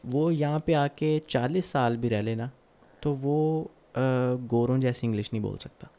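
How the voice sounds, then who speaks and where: A man who hosts a podcast, asking measured questions and often humming in agreement. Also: medium pitch, mellow. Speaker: podcast host. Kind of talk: podcast interview.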